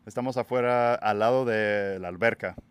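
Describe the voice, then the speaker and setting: A man from Texas hosting a casual podcast chat outdoors by a pool, English his first language, talking in a relaxed, hesitant way with many fillers and restarts. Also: fairly low pitch, moderate pace, smooth, dry recording. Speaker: podcast host.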